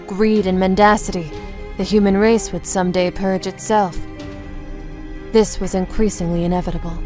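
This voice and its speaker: A woman's voice: mid-range voice, darkish tone, natural voice. Woman acting a solemn otherworldly female guru over music. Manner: measured, solemn, explanatory delivery. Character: serene mystical woman guru from another realm, gravely explaining. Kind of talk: audio comic book drama acting. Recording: music under the voice.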